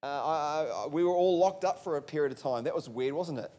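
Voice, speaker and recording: A man's voice: a little high, a little fast, dark tone. A man preaching a conversational sermon in a church, asking the congregation rhetorical questions and restarting his sentences. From New Zealand. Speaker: pastor. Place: church hall. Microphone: handheld or stage mic.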